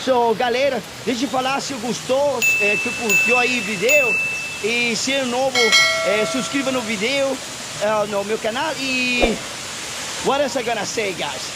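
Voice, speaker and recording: A high-voiced man with as his talks excitedly outdoors beside a waterfall, chatty as he flies a drone. Loud hiss and rushing water sit behind his voice. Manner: excited, chatty, mixes English, Portuguese and Spanish. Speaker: vlogger and drone pilot. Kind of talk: casual outdoor vlog talk.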